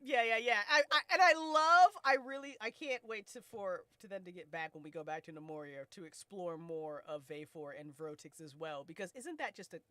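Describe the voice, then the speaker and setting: A woman chatting casually and excitably as a host, slangy and swearing freely. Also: fairly low pitch, a little fast, hardly any pauses, dry recording. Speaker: director and host of a fiction podcast cast discussion. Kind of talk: casual chat.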